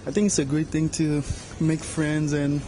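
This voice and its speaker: A man's voice: a little high, monotone, moderate pace, warm tone, faint music behind. A young man from Cameroon, a university student, answering fluently with lots of "you know" into a handheld mic. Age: young adult. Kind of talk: casual interview answers. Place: large bright room at a game jam. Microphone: handheld mic held by interviewer.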